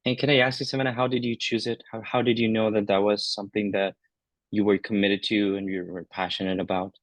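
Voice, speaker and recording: Very deep-voiced male panel moderator. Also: little pitch movement, fairly quick, no echo.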